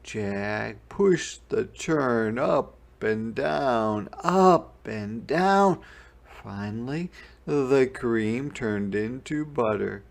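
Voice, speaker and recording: A man narrating a children's picture book in a deliberate, expressive storytelling manner. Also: mid-range voice, vocal fry. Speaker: storybook reader. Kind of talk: scripted children's read-aloud narration.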